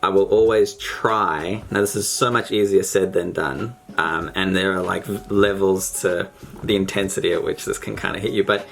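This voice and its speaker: A man's voice: sits low, steady tone, moderate pace, vocal fry, fairly bright tone. A man chatting with a stranger on a video call, reflective and hesitant, with many fillers. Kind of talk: casual conversation.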